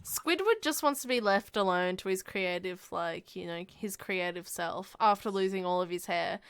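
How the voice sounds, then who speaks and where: A woman's voice: medium pitch, normal speed, fairly bright tone, smooth, no echo. Giggly, chatty young woman podcaster. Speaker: podcast co-host. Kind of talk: casual chat.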